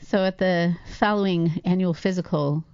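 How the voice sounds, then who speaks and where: A woman's voice: fairly low pitch, steady tone, normal speed, a little mellow, clean tone, no echo, highs cut off. A woman in her late forties co-hosting a podcast, speaking candidly and chattily about her own life. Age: late 40s. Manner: candid, chatty, laughs easily. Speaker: podcast co-host. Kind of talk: casual podcast conversation.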